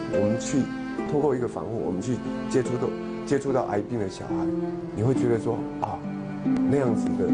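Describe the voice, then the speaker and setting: A middle-aged man from southern Taiwan with a Taiwanese Mandarin accent, speaking reflectively in short, halting phrases. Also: lowish voice. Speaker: film director. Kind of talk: reflective interview talk. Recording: loud music and crowd noise behind.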